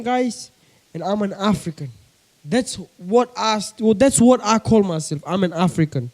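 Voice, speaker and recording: Casting note: young man, coastal Kenyan accent, laid-back vlogger chat, higher pitched, soft mellow tone, homemade bedroom recording. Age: young adult. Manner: chatty, keeps addressing viewers as guys. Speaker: pigeon-keeping vlogger. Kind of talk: casual chat to camera. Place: bedroom with a desk.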